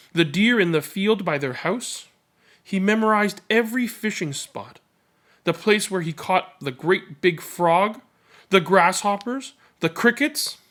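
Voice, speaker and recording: A man reading a children's picture book aloud as narrator, in a measured, expressive and dramatic storytelling manner. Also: high voice.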